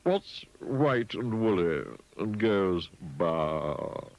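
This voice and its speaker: A man's voice: fairly low pitch, expressive, drawn-out words, muffled recording. A man voicing a smug, rude male pig who scoffs and sniggers.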